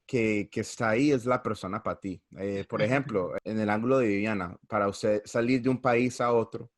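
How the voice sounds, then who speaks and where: A man's voice: on the low side, normal speed. A man hosting a casual interview show, mixing English phrases into his Spanish. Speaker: talk show host.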